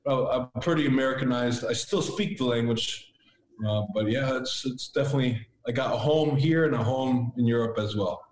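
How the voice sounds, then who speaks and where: Earnest man, Latvian native, roomy sound. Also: medium pitch. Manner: earnest, reflective, halting restarts. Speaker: podcast guest. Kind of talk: casual interview chat. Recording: roomy echo.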